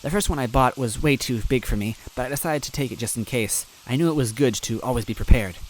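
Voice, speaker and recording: A man's voice: medium pitch, fast talker, a little hiss or hum, close to an everyday voice, low-quality recording. A man voicing a cheerful, brainy young female adventurer who talks in an eager, chatty way, in a storybook reading. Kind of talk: storybook voice acting.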